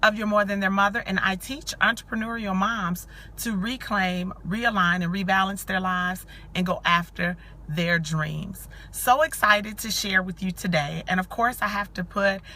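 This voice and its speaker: A woman in her forties hosting a casual motivational live stream, her voice hoarse and scratchy from cheering, chatting warmly and greeting viewers by name. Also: medium pitch, fairly even intonation, moderate pace, hardly any pauses. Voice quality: scratchy, growly. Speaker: live-stream host and coach for mothers.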